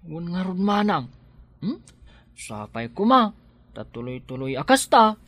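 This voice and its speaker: A man's voice: sits high, sing-song, measured pace, exaggerated voice. An actor playing a young husband and tricycle driver who dotes on his pregnant wife and turns frantic when she goes into labor, in a radio drama. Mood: tender. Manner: doting, then loud and frantic. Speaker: expectant father.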